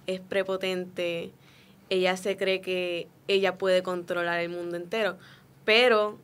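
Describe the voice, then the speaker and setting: Young woman, Puerto Rican accent, smooth clear voice, mid pitch, reflective, conversational interview guest. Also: a bit bright. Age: young adult. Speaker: student voice actor, guest.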